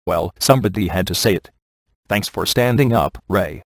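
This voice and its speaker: A man playing a wisecracking, sardonic ghost hunter in a video game, with a deadpan, offhand delivery. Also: low voice, normal speed, dry recording, clean studio sound. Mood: dry. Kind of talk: video game voice acting, comic one-liners.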